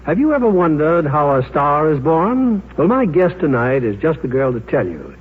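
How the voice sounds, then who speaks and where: A man's voice: fairly high pitch, sing-song, moderate pace, slightly rough. A man hosting a radio theater with scripted 1950s presentation. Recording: tinny old radio broadcast.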